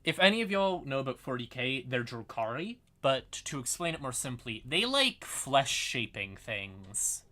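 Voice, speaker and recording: Bright-voiced man explaining lore on a livestream. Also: mid-range voice, sing-song, a little slow, few pauses. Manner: informal, sweary, apologetic asides. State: tired, yawning. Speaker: livestream host. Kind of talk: casual explainer livestream on fantasy game lore.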